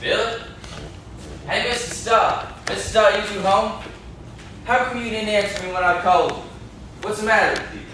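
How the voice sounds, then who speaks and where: A man's voice: high voice, steady tone, moderate pace, pauses often, sharp tone. A young man playing a simple, chatty country neighbour in an amateur stage play, with a naive, inquisitive, folksy manner. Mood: puzzled, curious. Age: young adult. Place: classroom-like room, indoors. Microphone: far camera. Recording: echoey room, distant camera mic, faint noise.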